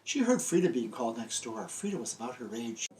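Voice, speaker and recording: A man's voice: medium pitch, big pitch swings, a little fast, darkish tone. Older American man presenting, noisy recording. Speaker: children's book author. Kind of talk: friendly scripted presentation and storytelling for young children. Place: small book-lined room indoors.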